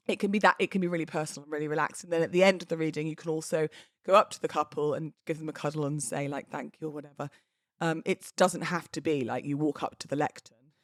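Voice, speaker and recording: A woman's voice: on the low side, dry recording, clean studio sound. A British woman in her thirties chatting casually and expressively as a solo podcast host, in an informal, slangy way. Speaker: podcast host and wedding celebrant.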